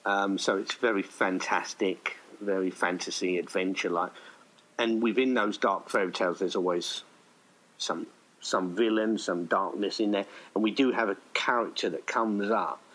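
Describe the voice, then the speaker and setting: A man's voice: low voice, fairly even intonation, moderate pace, fairly bright tone, poor audio. A man talking casually as an interview guest, in a rambling, hesitant, self-effacing way. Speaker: writer and director, podcast guest.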